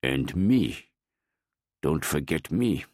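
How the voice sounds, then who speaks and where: A loyal, simple-minded old gardener, put on by a male reader, barrel-chested and earnest. He talks slowly and plainly in a very deep voice and uses broken grammar. The recording is dry. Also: expressive, a little mellow, no echo, full character voice.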